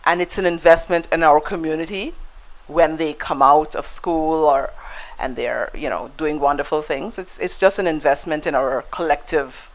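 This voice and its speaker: A woman's voice: deep voice, moderate pace, low-quality recording. A woman with a Guyanese accent, a guest in a conversational interview, telling stories in a reflective way with frequent fillers and restarts.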